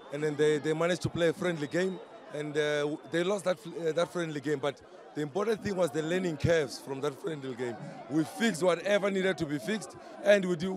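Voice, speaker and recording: A hoarse footballer giving a proud post-match interview pitchside outdoors, mixing English and Zulu. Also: male voice. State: hoarse, lost voice. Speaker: footballer, defender.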